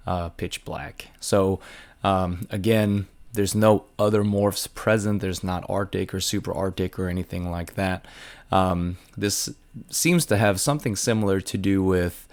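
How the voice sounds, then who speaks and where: A man with a very low voice made raspy by a sinus infection. He talks in a relaxed, unscripted way, filling pauses with ums and uhs as he shows off his snakes. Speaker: hognose snake breeder. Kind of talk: casual explanatory show-and-tell.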